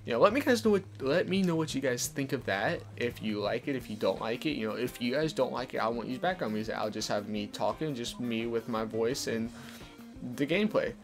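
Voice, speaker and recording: Young man with a gravelly voice. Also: expressive, a little fast. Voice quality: deeper voice. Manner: casual, chatty, explaining game tips. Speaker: gameplay commentator. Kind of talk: live gameplay commentary. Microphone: desk condenser mic. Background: soft background music.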